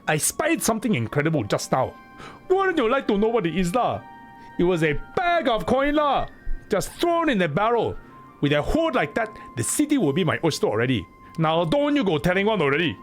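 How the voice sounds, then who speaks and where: A woman's voice: medium pitch, huge pitch swings, fairly quick, voice pushed up. A man voicing a secretive, gleeful young woman in a game with a Singaporean accent. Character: greedy young woman who found coin. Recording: faint game music behind.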